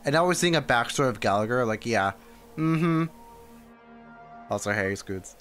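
A man's voice: medium pitch, lively intonation, normal speed, a bit bright. A man streaming a game reaction in a casual, muttering, thinking-aloud way. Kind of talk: casual livestream reaction chat. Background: game music.

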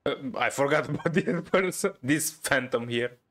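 A woman's voice: rumbling low, a little fast. A woman giving casual, amused and blunt commentary over a video game. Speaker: gaming video creator.

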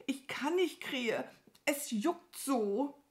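A woman's voice: high-pitched, animated, pitched above the performer's own voice, exaggerated voice. A good-natured, childlike storybook cow, acted out by a woman. The voice is raised and lilting, naive and carefree, and takes its time over words. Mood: itchy, restless. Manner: naive, unhurried, moos before speaking.

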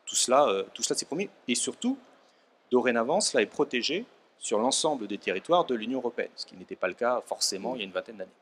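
Measured man at a trade fair panel. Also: medium pitch, expressive, fairly bright tone, husky. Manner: measured, hesitant with many fillers. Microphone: handheld microphone.

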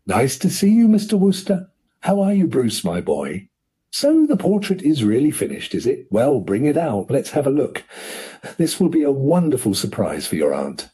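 A man voicing a blustering rich older uncle who turns furious, ranting loudly and indignantly. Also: mid-range voice, huge pitch swings, normal speed, slightly rough, pitched above the performer's own voice, heavily acted. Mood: hearty.

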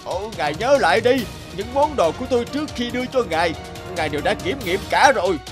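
A timid little horned imp. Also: male voice, high-pitched, animated, quick pace, over music. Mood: persuasive. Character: small horned male forest imp, timid, polite and placating. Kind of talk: cartoon voice acting.

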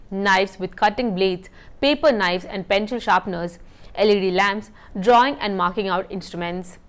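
Young woman, studio news presenter, steady measured read, mid-range voice. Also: medium pitch. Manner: steady, measured newsreader delivery reading from a script. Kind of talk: scripted news reading. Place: indoor TV news studio set.

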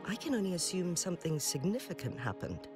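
Dignified game noblewoman, calm authority, very courteous n formal, music under it. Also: lowish voice, a little fast. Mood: calm, welcoming. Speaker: game character. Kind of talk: scripted game dialogue.

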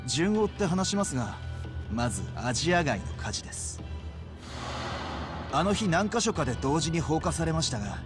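A man's voice: on the high side, very animated, normal speed, pauses often. A respectful young yakuza from a video game, anxious and urgent as he passes on a warning. Music plays underneath his voice. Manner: polite, urgent. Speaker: yakuza member. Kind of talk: video game cutscene dialogue. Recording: game audio with music behind.

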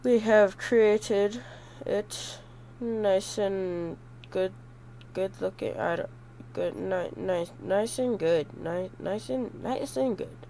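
Slow, stuffy young man gaming. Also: high voice, vocal fry, warm tone, slight background noise. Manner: rambling, hesitant, goofy self-correcting. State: stuffy from a cold. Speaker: gaming YouTuber. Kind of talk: casual gameplay commentary.

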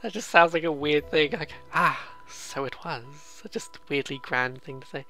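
A let's player giving casual, excitable, rambling game commentary, often interrupting themselves and reading dialogue aloud. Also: medium pitch, moderate pace. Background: game music.

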